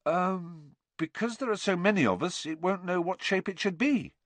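A man's voice: sits high, moderate pace, fairly bright tone, dry recording, voice pushed up. A man voicing a young teenage schoolboy answering tentatively.

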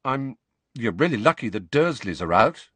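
A man's voice: mid-range voice, very animated, normal speed, fairly bright tone, breathy edge, no echo. A man voicing a nervous, hesitant teenage wizard boy in an audiobook.

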